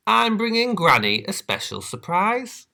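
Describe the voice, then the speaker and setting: A man voicing a cheerful little girl in a red cloak in a children's storybook, high and sing-song. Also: big pitch swings, a little slow, gravelly, sharp tone, heavily acted.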